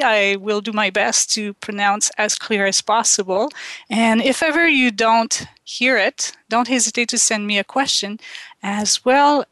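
A woman's voice: fairly high pitch, no echo. Woman, Quebec French accent, radio host, hesitant, restarts, dry studio sound. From Quebec, Canada. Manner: halting, many ums and restarts, soft laughs. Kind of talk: slow, hesitant call-in radio talk.

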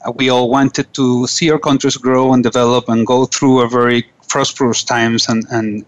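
A man's voice: medium pitch, level tone, moderate pace, lo-fi sound. Earnest Venezuelan-born male radio host. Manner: earnest, formal, with frequent restarts. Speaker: radio show host and business coach. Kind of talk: scripted radio monologue. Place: radio studio.

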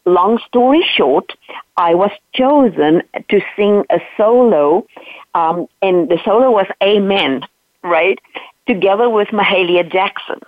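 A South African woman in her fifties, telling her life story as an interview guest, animated and earnest, often checking in with "right?". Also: mid-range voice, measured pace, dry recording. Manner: earnest, animated retelling with frequent "right?" asides. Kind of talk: personal storytelling in a podcast interview. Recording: dry, tinny phone-like line.

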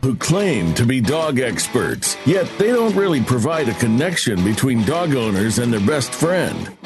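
A man's voice: medium pitch, big pitch swings, unhurried. A male announcer reading a punchy, salesy radio promo. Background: guitar music.

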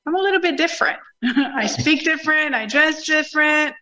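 A woman from Puerto Rico, speaking with a Puerto Rican accent and a fairly high voice. She is an interview guest on a video call, telling her own story conversationally. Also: normal speed, pushed and bright, poor audio. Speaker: show guest, Air Force veteran. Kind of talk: personal story in a remote interview.

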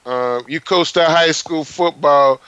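A man's voice: on the high side, takes it slowly, fairly bright tone, clean tone, no room sound, highs cut off. A man in his fifties hosting a sports talk show, talking emphatically and repeating himself in casual conversation. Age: 50s. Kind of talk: casual radio interview.

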